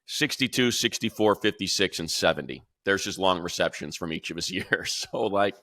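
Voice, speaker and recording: A male radio play-by-play announcer in casual sports talk, animated and talking in quick bursts with restarts. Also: deep voice, no echo. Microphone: video call.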